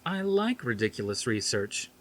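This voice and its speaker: A man's voice: mid-range voice, very animated, normal speed, slight background noise, lightly acted. A man reading a novel aloud as a blunt, sardonic, skeptical male scientist with dry delivery.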